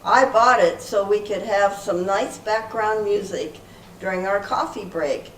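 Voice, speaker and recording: A woman's voice: mid-range voice, a little slow, natural voice. A woman playing an eager, easily flustered group leader in amateur readers theater, speaking earnestly. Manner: earnest, presenting. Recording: echoey room from far off, faint noise.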